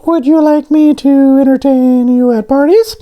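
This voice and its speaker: A man voicing a small, eager male talking doughnut in a storybook, with a squeaky, childlike falsetto. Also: fairly even intonation, a little slow, lo-fi sound. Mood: eager, upbeat.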